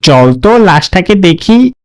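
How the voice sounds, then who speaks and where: A man's voice: high voice, very animated, slow, heavily acted. A man playing a wary, sombre forest guard companion with a drawling delivery in a horror cartoon. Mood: sombre, resigned. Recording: faint music behind the voice.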